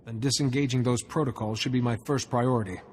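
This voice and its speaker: Man acting composed, mid-pitched space-knight hero. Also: steady tone, heavy background noise, lightly acted. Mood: composed, dry. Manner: composed, measured, dryly understated. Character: calm, resolute male Jedi hero, measured and dry. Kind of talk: video game cutscene acting. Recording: music behind the voice.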